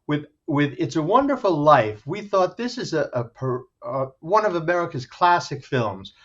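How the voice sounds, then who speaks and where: A man's voice: a little high, lively intonation, moderate pace, a bit bright. A man giving an enthusiastic, descriptive interview as a theater director promoting a show. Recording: dull, muffled lo-fi sound.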